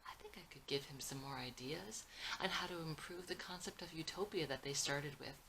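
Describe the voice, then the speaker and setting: A woman's voice: deep voice, brisk, bright, forceful tone, slight background noise, poor audio. A woman voicing an earnest, idealistic and insistent young dreamer in a dramatic script reading. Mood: earnest, hopeful.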